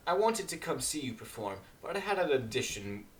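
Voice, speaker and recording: A genteel pony mare musician, acted by a man, polite and reserved. The pitch moves expressively in a fan storybook reading. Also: big pitch swings, a little fast, low-quality recording. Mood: interested. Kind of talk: amateur dramatic fan fiction reading.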